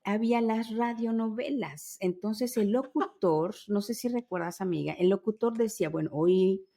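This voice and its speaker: A woman in her fifties speaks as a podcast host with a medium-pitched voice. She tells things in an enthusiastic, nostalgic way, as casual conversation with a friend. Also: moderate pace, dark tone. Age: 50s. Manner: enthusiastic, storytelling with spoken sound imitations.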